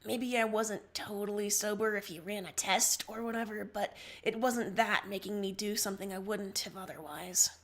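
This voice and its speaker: A woman's voice: mid-range voice, fairly even intonation, normal speed, hardly any pauses, pitched above the performer's own voice, close to an everyday voice. A woman voicing a distressed, timid young male alien in an audiobook-style story, hesitant and pleading. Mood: quiet, defensive.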